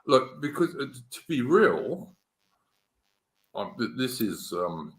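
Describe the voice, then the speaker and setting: An English man in his fifties hosting a casual political chat, halting, with many fillers and restarts. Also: mid-range voice, big pitch swings, echoey room. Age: 50s. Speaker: podcast host.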